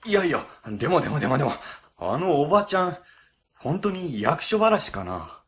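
A man's voice: a little high, sing-song, fairly quick, a bit bright. A man playing a timid, blustering middle-aged section chief in a comedic radio drama, flustered and pompous. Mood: pompous worry.

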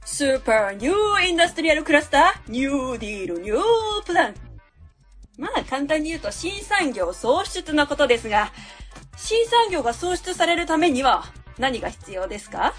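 High-pitched, overbearing woman consultant played by an actress. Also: very animated, normal speed, fairly bright tone, faint music behind. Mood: zealous, lecturing. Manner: didactic, asks and answers own questions. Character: overbearing female consultant lecturer, cold and self-assured. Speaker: actor in a web radio drama. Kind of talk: radio drama acting, delivering a presentation.

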